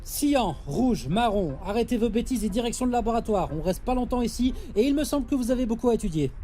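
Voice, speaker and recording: A woman playing the authoritative, brisk captain of a space mission in an audio drama. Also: on the high side, expressive, dark tone, natural voice. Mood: stern. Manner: authoritative, brisk, exasperated orders. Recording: music behind the voice.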